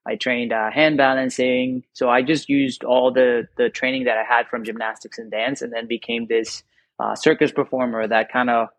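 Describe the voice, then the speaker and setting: A friendly man in his thirties from Puerto Rico, chatting easily about his career as a podcast guest. His voice sits at a middle pitch, and the recording is dry and close. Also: normal speed, no room sound. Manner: friendly, relaxed, laughs easily. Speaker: guest, circus performer. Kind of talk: casual interview chat.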